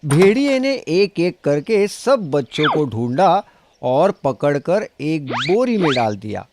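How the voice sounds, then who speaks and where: A man narrating a scripted children's story in an expressive, dramatic storytelling manner. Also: fairly high pitch, takes it slowly, noisy background.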